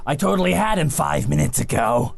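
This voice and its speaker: A man's voice: on the high side, expressive, a little fast, creaky, heavily acted. A man playing an irritable fantasy adventurer with a sarcastic, grudging manner in a tabletop game.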